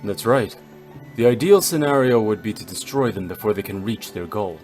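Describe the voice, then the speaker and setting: A man's voice: on the low side, normal speed, darkish tone, soft background music, lightly acted. A man voicing a stoic, cold and brooding warrior in a video game, with solemn, formal, terse delivery. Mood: grim, calculating. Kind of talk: dramatic video game voice acting.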